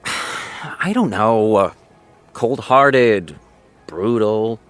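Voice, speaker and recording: A man's voice: lowish voice, expressive, drawn-out words, fairly bright tone, faint music behind, exaggerated voice. A man playing a self-important TV writer, wordy, hesitant and defensive, in a naturalistic audio drama. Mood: uneasy, guarded.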